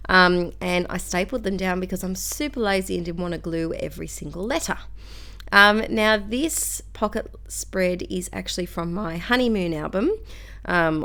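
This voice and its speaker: A young Australian woman chatting casually through a craft video, rambling and self-deprecating. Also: on the low side. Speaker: crafter and school teacher.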